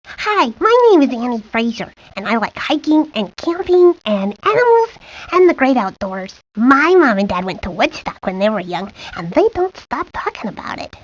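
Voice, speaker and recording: A woman's voice: a little high, big pitch swings, normal speed, dry recording. A woman voicing a chatty, outdoorsy young girl in a cartoon video game. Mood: chatty, earnest. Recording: muffled lo-fi game audio.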